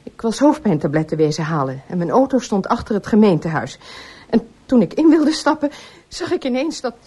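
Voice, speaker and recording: Radio drama: guarded, troubled woman, husky, with wide swings in pitch. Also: very animated, brisk, mellow. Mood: hesitant, troubled. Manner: hesitant, defensive. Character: anxious, guarded woman haunted by former lover. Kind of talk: Dutch radio drama acting.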